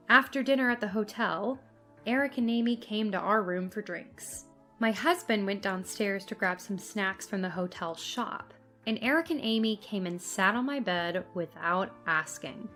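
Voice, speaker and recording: A woman's voice: mid-range voice, normal speed, a bit bright, soft background music. A woman reading a scripted first-person story as its narrator.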